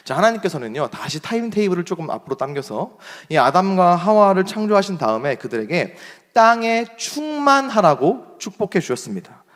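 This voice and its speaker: A young adult man preaching a sermon as pastor, emphatic, rising to strong points and dropping to soft asides. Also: high-pitched, sing-song, brisk, hardly any pauses. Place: church sanctuary. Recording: long hall reverb.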